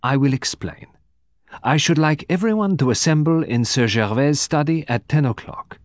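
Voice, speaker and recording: A man playing a composed, formal detective from abroad, speaking deliberately with dramatic emphasis in an audiobook. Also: on the high side, expressive, no echo, clean studio sound, voice pushed up, close to an everyday voice. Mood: formal, grave. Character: composed, precise foreign male detective, quietly theatrical.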